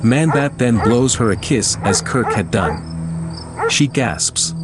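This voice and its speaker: A man's voice: mid-range voice, expressive, slow, very noisy. A man reading dramatic scripted narration as the narrator of an audio drama. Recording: music under the narration.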